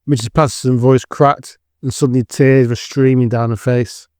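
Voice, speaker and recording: Even-toned male drama narrator. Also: mid-range voice, moderate pace, clean tone, dry recording, studio quality.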